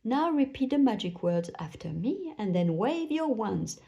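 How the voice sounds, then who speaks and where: A woman reading a children's storybook, voicing a kindly, encouraging female gazelle who teaches playgroup with clear, teacherly instructions. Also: on the high side, big pitch swings, measured pace, dark tone, lo-fi sound, full character voice. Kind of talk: children's storybook read-aloud.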